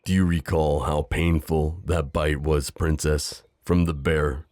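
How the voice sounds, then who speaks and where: A man's voice: a bass voice, steady tone, takes it slowly, darkish tone, dry recording, studio quality. A man playing a protective, possessive knight, speaking in a formal, courtly, tender and hesitant manner in an intimate roleplay audio. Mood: grave, warning. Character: protective, possessive gallant knight, mentor to a princess.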